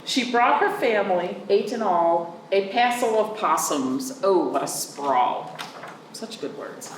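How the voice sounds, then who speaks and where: A woman reading aloud to young children in an expressive, sing-song way, drawing out words and speaking simply and clearly for them. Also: medium pitch, slow pace. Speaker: children's librarian, storytime reader. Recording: roomy echo, distant mic, faint noise.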